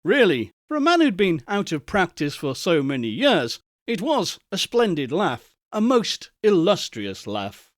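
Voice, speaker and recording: Guy reading an old victorian story, slow, theatrical, very lively pitch, dry close sound. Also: dry recording. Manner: theatrical, expressive. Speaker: audiobook narrator. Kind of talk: dramatic Victorian storybook narration.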